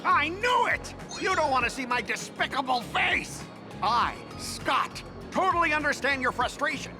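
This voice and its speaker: An actor playing an excitable, obsequious company guide with a grudge in a video game dub, theatrical and overeager. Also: male voice, very high voice, normal speed, over music. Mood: fawning.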